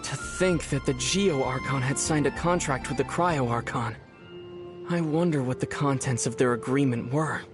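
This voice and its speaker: A man plays a young hero of a fantasy video game. He speaks in a medium-pitched, measured voice, articulate and composed with a dry, wry edge. Music plays clearly behind him. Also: natural voice. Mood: musing. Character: calm, wry young male adventurer hero. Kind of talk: scripted game dialogue and storytelling.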